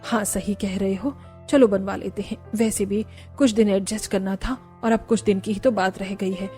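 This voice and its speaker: A woman voicing a worried middle-aged mother-in-law in a family cartoon, speaking in a measured, concerned way. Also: mid-range voice, fairly even intonation, mellow, soft background music, pitched below the performer's own voice, close to an everyday voice. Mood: resigned, hopeful. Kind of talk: Hindi family cartoon voice acting.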